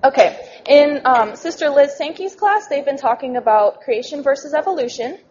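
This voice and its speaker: High-voiced woman presenting a children's class. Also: lively intonation, moderate pace, a little mellow. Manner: plain, encouraging. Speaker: church class teacher. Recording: muffled recording, faint noise.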